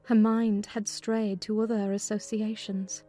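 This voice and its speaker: A woman playing a sensible, scolding housekeeper who narrates a dramatized classic novel, measured in storytelling and firm and brisk in dialogue. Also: mid-range voice, level tone, dark tone, soft background music, lightly acted. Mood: measured, concerned. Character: sensible, scolding housekeeper recounting her mistress's illness.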